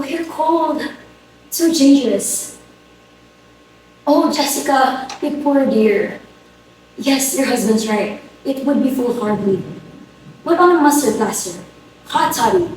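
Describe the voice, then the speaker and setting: A woman's voice: high voice, a little mellow. A woman acting an imperious, fretful society mother in a dramatic stage reading, scolding and exclaiming. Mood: gushing on phone. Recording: very echoey room, distant mic, faint noise.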